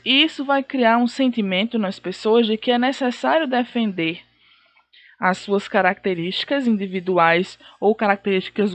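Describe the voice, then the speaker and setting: A woman's voice: fairly high pitch, a bit bright, dry recording. A woman with a Brazilian accent giving a recorded history lesson, explaining in a didactic way and repeating key points. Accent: Brazilian Portuguese. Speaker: teacher.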